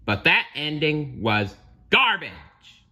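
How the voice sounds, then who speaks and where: A cheeky cartoon seagull, acted out by a man, indignant and snarky. It squawks in a raised, sharp voice. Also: a little high, sing-song, slow pace, voice pushed up, full character voice.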